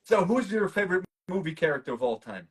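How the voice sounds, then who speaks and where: High, lively male interviewer. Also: fast talker. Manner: reads fan questions, enthusiastic reactions. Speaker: interviewer host. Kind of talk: casual interview.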